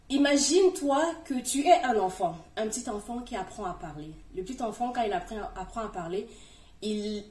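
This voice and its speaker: A middle-aged woman speaking straight to her viewers, giving encouraging advice with emphatic swings between loud and soft. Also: a little high. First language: French. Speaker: YouTuber giving language-learning tips. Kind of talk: direct-to-camera advice video. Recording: roomy, distant from the mic.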